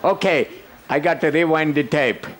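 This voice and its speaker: A man's voice: on the high side, moderate pace, fairly bright tone. An older man leading a conference workshop, talking to the audience and teasing them with jokes. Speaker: workshop presenter. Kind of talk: interactive conference workshop talk.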